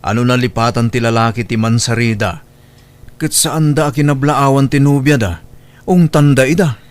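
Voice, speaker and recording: A man's voice: mid-range voice, normal speed, natural voice. A man playing an apologetic young boyfriend with an Ilocano accent in an audio drama. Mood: coaxing. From Philippines. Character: apologetic, coaxing boyfriend, eager to please. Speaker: voice actor in comedy drama.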